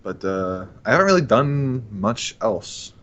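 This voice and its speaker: A man's voice: mid-range voice, sing-song, measured pace, fairly bright tone, lo-fi sound. A man in his twenties talking casually as an interview guest, rambling and hesitant, with an amused manner. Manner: hesitant, rambling, self-amused with frequent chuckles. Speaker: interview guest, online voice actor. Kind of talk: casual chat.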